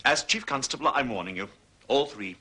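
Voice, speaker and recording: A police chief in a period mystery drama. He is brusque and commanding, with a sharp, rough-edged voice and emphatic, varied intonation. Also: medium pitch, animated, a little fast, exaggerated voice. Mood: authoritative. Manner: official, brusque, interrogating. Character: stern, authoritative male chief constable. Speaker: chief constable.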